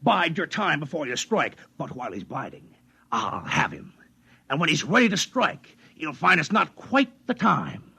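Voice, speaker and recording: Husky, domineering old boss, sharp-edged. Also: male voice, on the high side, wildly swooping pitch, normal speed, full character voice. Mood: smug scheming. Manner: sardonic, commanding, theatrical. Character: fat old cynical, domineering boss, smugly scheming. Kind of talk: 1950s radio drama acting. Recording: 1950s radio broadcast recording.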